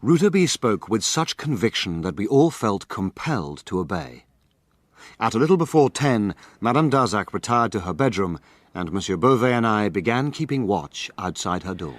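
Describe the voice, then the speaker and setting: Male mystery narrator, measured and literary, slightly low, dry studio sound. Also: big pitch swings, dry recording. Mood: grave storytelling. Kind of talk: scripted first-person drama narration.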